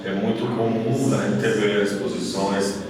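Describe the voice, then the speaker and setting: A man from Cuba giving halting remarks at an art opening, with many fillers and restarts. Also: on the low side, little pitch movement, noisy background. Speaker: exhibition visitor giving remarks. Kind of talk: spoken tribute at an art opening. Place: art gallery. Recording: echoey gallery, distant mic, crowd behind.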